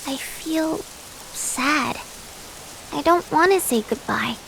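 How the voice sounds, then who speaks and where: Huntress girl for video game: youthful, high, slow-paced, sincere and tender-hearted. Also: expressive, gravelly, a bit bright, slight background noise, exaggerated voice, poor audio. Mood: wistful.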